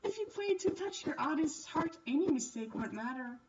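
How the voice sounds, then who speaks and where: A woman's voice: sits high, expressive, normal speed, recorded from a distance, lo-fi sound, noisy background, voice pushed up. A woman voicing a courteous, reassuring nobleman in a visual novel. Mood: gentle, gallant. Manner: gallant, reassuring. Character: courteous, kindly nobleman, a French Marquise. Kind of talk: visual novel character reading.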